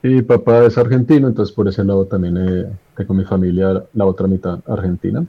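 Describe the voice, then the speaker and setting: A man in his thirties with a Bogotá Colombian accent, a radio guest who tells his story in a relaxed way and slows for emphasis. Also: deep voice, breathy edge. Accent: Bogotá Colombian Spanish. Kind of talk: radio interview. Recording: dull lo-fi line.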